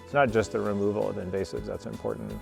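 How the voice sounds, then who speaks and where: A man in his fifties speaks in a low, heavily gravelly voice, explaining things in a calm and measured way. He is a crew supervisor giving a documentary interview, with music playing underneath. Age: 50s.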